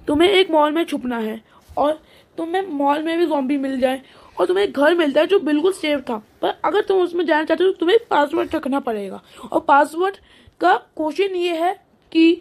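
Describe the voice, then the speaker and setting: A woman's voice: sits high, darkish tone. A woman voicing an interviewer who poses riddles in a children's cartoon quiz. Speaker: quiz host.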